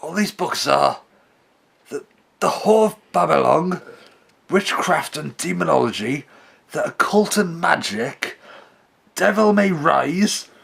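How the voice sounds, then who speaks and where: Man acting a creaky-voiced, devout, anguished father. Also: fairly high pitch, animated, takes it slowly, long pauses, fairly bright tone, exaggerated voice. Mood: anxious, searching. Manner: earnest, melodramatic, horrified exclamations. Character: devout, anguished father held captive, righteous and determined. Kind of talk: old-time radio play acting.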